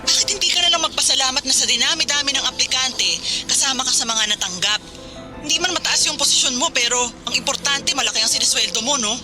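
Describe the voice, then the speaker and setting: Actress as stern Filipino wife, loud music behind. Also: female voice, sits high, fast, lightly acted. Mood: firm, scolding. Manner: exasperated, firm, nagging. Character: stern, practical seaman's wife, scolding and insistent. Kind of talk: radio drama dialogue.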